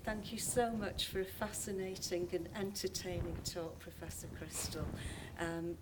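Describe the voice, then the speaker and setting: Female chair of a lecture event, polite, lower pitched, noisy recording. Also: fairly even intonation, moderate pace. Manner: polite, organizing. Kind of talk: formal hosting.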